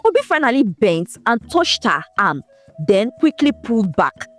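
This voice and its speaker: Woman, folktale narrator, expressive, dramatic, animated pitch, faint background music. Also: moderate pace. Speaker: storyteller.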